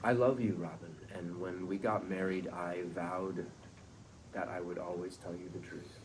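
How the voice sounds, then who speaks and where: A man's voice: sits low, fairly even intonation, normal speed, natural voice. A man playing a glib, flippant womanizer with a sincere side, reading a sitcom script. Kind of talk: sitcom script table read. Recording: clearly noisy room recording.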